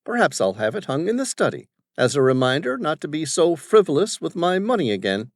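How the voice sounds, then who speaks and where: A man's voice: a little high, sing-song, fairly quick, dry recording, clean studio sound, pitched above the performer's own voice. A man voicing an arrogant, condescending wealthy older businessman who treats everyone as hired help. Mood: pompous. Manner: pompous, condescending.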